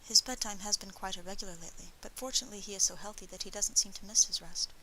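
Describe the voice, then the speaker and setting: A woman voicing a graceful, anxious noblewoman and mother in an audiobook, formal, soft-spoken and careworn. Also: medium pitch, fast, a little hiss or hum, rough recording. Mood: grave. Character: graceful, anxious noble lady, a gentle but firm mother. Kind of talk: audiobook character voice.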